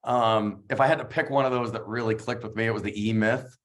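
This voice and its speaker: A reflective man speaking as a podcast guest, earnest and sincere. His voice sits somewhat low. He circles back to his points with plenty of fillers. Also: steady tone, a little fast. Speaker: guest, real estate agent. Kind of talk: casual interview chat.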